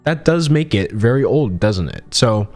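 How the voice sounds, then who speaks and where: A man in his early twenties giving casual comedic commentary as an online video host, self-mocking, with dramatic pauses and emphasis. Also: mid-range voice, animated, darkish tone, soft background music.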